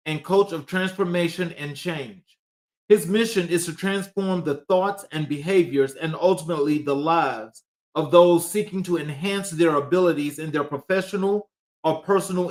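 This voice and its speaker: A man hosts an interview, reading a long introduction in a measured, list-like way. His voice is quite high for a man. In conversation he stumbles over his words with fillers. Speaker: show host.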